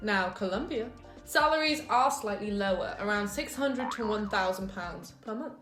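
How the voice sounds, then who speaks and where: A woman's voice: mid-range voice, expressive, normal speed, reverberant, music in the background. A woman co-hosting a casual scripted chat, upbeat and laughing easily as she counts off points. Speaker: co-host.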